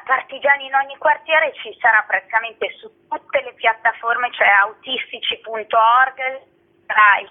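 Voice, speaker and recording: A woman's voice: on the high side, measured pace. Woman on a thin phone line. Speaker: interviewee, event organizer. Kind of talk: conversational radio phone interview. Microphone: telephone.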